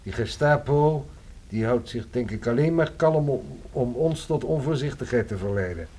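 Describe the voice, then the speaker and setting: An actor playing a wary, ironic writer in hiding during wartime, in a radio drama. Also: male voice, mid-range voice, lively intonation, normal speed, a little mellow, husky.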